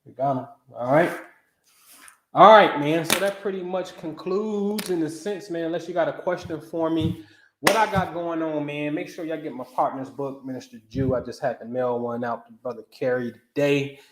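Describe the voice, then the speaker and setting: A man's voice: a little high, moderate pace, roomy sound, background noise. A man in his forties hosting a casual astrology livestream, talking loosely and animatedly in slang, often asking "you know what I'm saying?". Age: 40s. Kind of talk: casual live talk.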